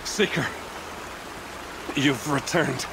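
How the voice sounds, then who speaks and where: Wounded devout warrior, super slow halting low voice, loud rushing noise behind. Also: male voice. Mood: weary, grave. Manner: halting, labored, reverent. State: weak, strained by injury. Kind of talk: dramatic video game acting.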